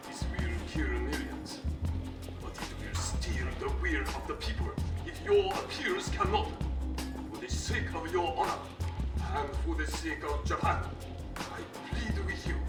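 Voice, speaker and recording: An actor playing a proud, menacing Japanese military leader in a video game, speaking in a formal, oratorical way. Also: male voice, on the high side, lively intonation, unhurried, far from the mic. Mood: cold, solemn. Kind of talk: dramatic game cutscene acting. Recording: roomy echo, loud music behind.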